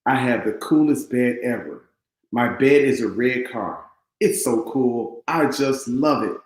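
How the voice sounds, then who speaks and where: A man's voice: mid-range voice, measured pace, close to an everyday voice. Man reading as eager young boy, echoey room. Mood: excited. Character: excitable eleven-year-old boy, affectionate mama's boy narrating. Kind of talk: read-aloud children's storybook. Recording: roomy echo.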